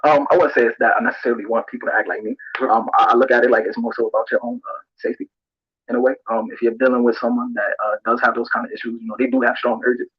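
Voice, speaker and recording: A man speaks quickly with a rough, slightly breathy edge. He gives reflective interview answers, feeling his way through them. The recording is dull and roomy. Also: mid-range voice. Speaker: interviewee in a played clip. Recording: muffled, echoey played-back audio.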